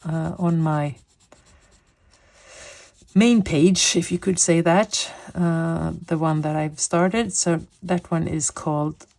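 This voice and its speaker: A woman's voice: lowish voice, gravelly, darkish tone. A woman in her late fifties from Sweden, speaking English as her second language, chatting casually and searching for words while she colors. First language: Swedish. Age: 50s. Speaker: coloring vlogger.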